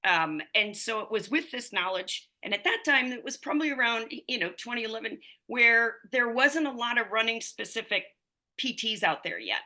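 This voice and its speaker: A woman's voice: a little high, lively intonation, moderate pace, pushed and bright. A woman in her fifties, a running coach and physical therapist, telling her story as a podcast guest in a loose, rambling way full of fillers. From United States. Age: 50s. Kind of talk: conversational podcast interview.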